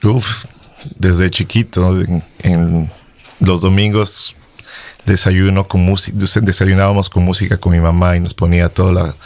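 A man from Ecuador, a drummer and guest, talking loosely and relaxed. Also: low voice, unhurried. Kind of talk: casual conversation.

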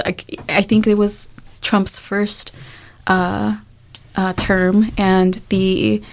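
Casting: young woman, Guatemalan-born, Chicago-raised, native Spanish speaker, mid-pitched voice, informal and passionate conversational delivery. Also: level tone, extremely slow. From born in Guatemala, raised in Chicago, USA. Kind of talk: conversational panel discussion.

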